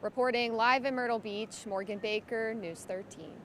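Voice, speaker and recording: A woman field reporter delivering a scripted local news story in a measured, clear way. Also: on the high side, a little hiss or hum.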